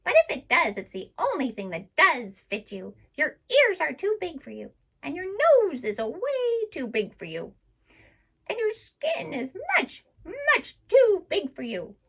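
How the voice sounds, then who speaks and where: Woman doing a shrill mocking parrot. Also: huge pitch swings, measured pace, full character voice. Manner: shrill, teasing, loud. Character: mocking saucy male parrot.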